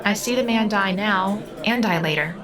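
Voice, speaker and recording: A woman's voice: medium pitch, normal speed, lightly acted. A woman acting in an audio drama as a solemn, literal-minded girl who speaks formally and precisely. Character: solemn, literal-minded all-seeing girl under eighteen. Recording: busy club crowd and music behind.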